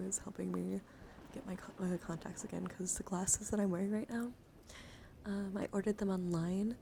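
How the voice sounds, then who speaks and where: A woman chatting softly to livestream viewers in ASMR style, breathy and often whispering, with a gentle, soothing, intimate manner close to the mic. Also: medium pitch, background noise. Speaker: live streamer hosting ASMR. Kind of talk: ASMR, casual chat with viewers.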